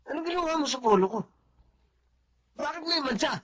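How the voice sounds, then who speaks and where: A man's voice: very high-pitched, lively intonation, brisk, fairly bright tone, slight background noise. A man playing a spoiled young rich son who bullies and accuses the housemaid in a domineering tone. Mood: accusing. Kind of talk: radio drama acting.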